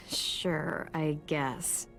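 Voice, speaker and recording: A teenage survivor girl speaks with an American accent in a fairly low voice. She is guarded and caring, in natural video game acting. Music plays softly behind her. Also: steady tone, drawn-out words, heavily acted. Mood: warm, amused.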